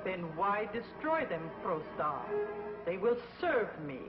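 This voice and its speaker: A woman playing a vengeful, power-hungry witch queen in a 1950s TV drama, slow and imperious. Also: medium pitch, very animated. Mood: gloating. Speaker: villain. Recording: muffled old TV soundtrack with loud music.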